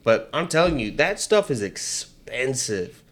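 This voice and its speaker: A man co-hosting a casual political podcast, talking in energetic bursts with frequent restarts. He has a higher voice than his co-host. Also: unhurried. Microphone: headset mic with headphones.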